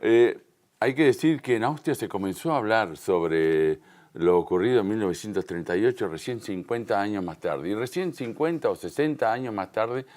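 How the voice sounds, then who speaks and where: A man's voice: fairly low pitch, expressive, moderate pace, a little mellow. A man from the Río de la Plata with a Rioplatense Spanish accent, answering as an interview guest in an explanatory, emphatic way. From Uruguay and Argentina, Río de la Plata. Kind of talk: conversational television news interview.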